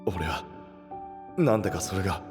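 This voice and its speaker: A man playing a rough, brooding young knight in a voice drama, gruff and anguished, proud yet tormented. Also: low-pitched, expressive, moderate pace, music in the background. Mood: wistful, subdued. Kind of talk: anime-style voice drama acting.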